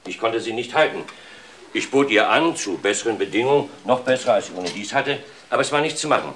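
An actor playing a courteous, formal office boss who speaks emphatically and repeats himself, in a crime radio play. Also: male voice, medium pitch, lively intonation, a little fast, fairly bright tone, slight background noise, natural voice. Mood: formal, regretful. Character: courteous male office boss praising a former secretary.

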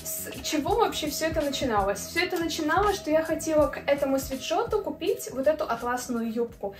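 A young woman in her twenties talks through her outfits in a chatty, upbeat way, with a high voice. She is picked up by a camera a little way off in an echoey indoor room, with soft music playing faintly underneath. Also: lively intonation, fairly quick. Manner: chatty, upbeat, frequent fillers. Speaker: fashion vlogger. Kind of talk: casual outfit try-on chat. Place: indoor room with clothing racks. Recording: roomy echo with faint music.

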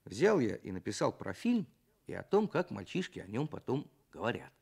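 A man telling stories and reciting children's poems in an expressive, theatrical way, as a writer on a programme for children. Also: mid-range voice, moderate pace, gravelly, breathy edge. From Russia.

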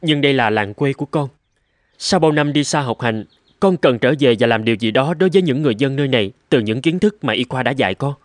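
A man's voice: mid-range voice, expressive, quick pace, no echo, clean studio sound, lightly acted. An actor voicing a polite, idealistic young medical man in a dubbed cartoon, respectful and earnest in his delivery. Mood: earnest, pleading. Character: polite, idealistic young man, doctor's apprentice turned physician.

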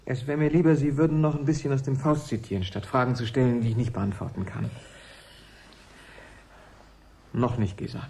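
A man's voice: medium pitch, fairly even intonation, fast, gravelly, warm tone, slight background noise, natural voice. An actor playing an intense, secretive and loyal man in a radio play, speaking with theatrical urgency. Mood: guarded.

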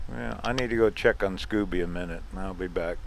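Brief: lowish male voice, easygoing vlogger chatting to camera, faint outdoor hum behind. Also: brisk, gravelly, poor audio. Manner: chatty, chuckles often, talks to the camera. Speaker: RV travel vlogger. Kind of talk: casual narrated travel vlog. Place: outdoors and in a car on a ferry.

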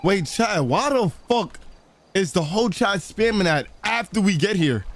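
A man's voice: high-pitched, animated, measured pace, slight background noise. A man streaming a crime role-play game, chatting casually in slang and often repeating his phrases.

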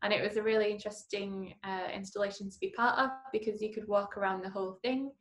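A woman's voice: mid-range voice, fairly even intonation, fast talker, fairly bright tone. A woman from Manchester, an artist explaining her gallery's exhibitions on a video call, with some fillers. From Manchester, England.

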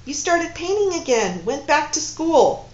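A woman voicing a grieving man who pleads gently and haltingly. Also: high voice, big pitch swings, voice pushed up. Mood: pleading. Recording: dull, roomy recording with faint hum.

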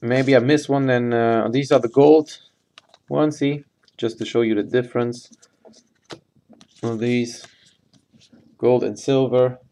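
Man, medium pitch, unhurried slow pace, casual live unboxing commentary, halting, matter-of-fact. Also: fairly even intonation, dark tone. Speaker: host of sports card box breaks. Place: indoors at a tabletop.

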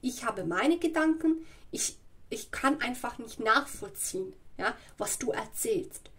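A woman with a slight cold giving a spoken tarot reading at home, explaining slowly and often checking in with "ja" and "okay". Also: on the high side, expressive, fairly bright tone, breathy edge. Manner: slow, searching and explanatory, with frequent "ja" and "okay". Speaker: tarot reader. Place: home room with a tarot table.

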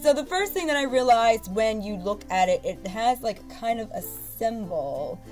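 A woman's voice: on the high side, lively intonation, normal speed, darkish tone, soft background music. A young woman in her twenties, congested from a cold, rambling casually with many fillers as she talks to her webcam at home. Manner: rambling, lots of fillers and restarts. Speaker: beauty vlogger. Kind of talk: casual haul vlog to camera. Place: bedroom at home, indoors. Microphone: webcam at arm's length.

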